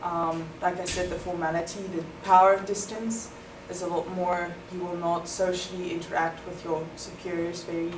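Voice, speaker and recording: A young South African woman whose first language is Afrikaans answers interview questions at length. She explains things carefully, with plenty of ums. Her voice sits a little low and is picked up from a distance in an echoey room with steady noise behind it. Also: moderate pace, few pauses. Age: young adult. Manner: thoughtful, explanatory, with many ums. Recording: echoey room, far from the mic, noisy.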